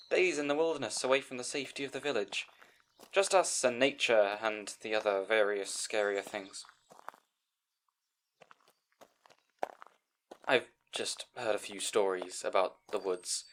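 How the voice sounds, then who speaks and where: A man's voice: mid-range voice, moderate pace, a bit bright, slight background noise, natural voice. A man playing a timid, bookish young scribe in an audio roleplay, speaking to the listener in an anxious, hesitant, stammering way. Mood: nervously excited.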